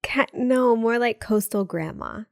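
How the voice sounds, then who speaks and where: A young woman co-hosting a podcast in casual chat, bubbly and quick with asides, laughing often, sounding a bit sick with a cold. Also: a little high, gravelly, no echo, studio quality. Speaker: podcast co-host.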